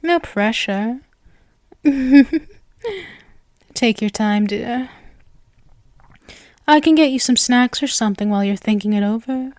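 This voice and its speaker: A woman's voice: on the high side, darkish tone, no echo, studio quality. A woman voicing a sweet, possessive yandere witch in an ASMR roleplay, coy and rambling, speaking intimately to the listener. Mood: playful. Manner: coy, halting, rambling, self-assured. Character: sweet, clingy, possessive yandere witch, playfully smug and nervous.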